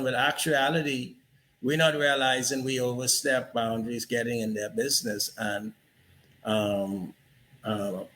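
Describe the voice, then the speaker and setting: Male host, slow and reflective, bright forceful tone, slight background hiss. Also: mid-range voice, poor audio. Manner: reflective, storytelling with asides like "you know". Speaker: interview host. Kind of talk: casual interview conversation.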